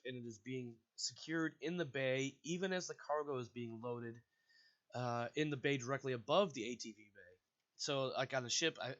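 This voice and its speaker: Game master, man, congested, rambling host, forceful tone, lo-fi chat sound. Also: medium pitch. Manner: rambling, casual, with frequent restarts. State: stuffy and congested. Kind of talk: hosting and narrating a tabletop game. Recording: dull, lo-fi voice chat audio.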